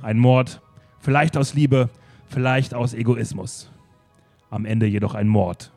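A man acting a detective in an improvised radio play, calmly laying out the case. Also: mid-range voice, measured pace, faint music behind. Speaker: improv actor playing the detective.

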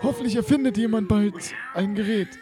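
A man playing a rambling, servile English butler with a husky voice in an improvised comic audio drama. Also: high-pitched, a little fast, music in the background, lightly acted. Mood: chatty. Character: eager, rambling English butler of an art collector.

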